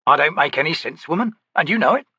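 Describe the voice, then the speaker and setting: A man voicing a rough, grumbling male sergeant with a growling, rustic way of talking in an audiobook. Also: fairly high pitch, lively intonation, quick pace, pushed and bright, no echo, pitched above the performer's own voice, full character voice.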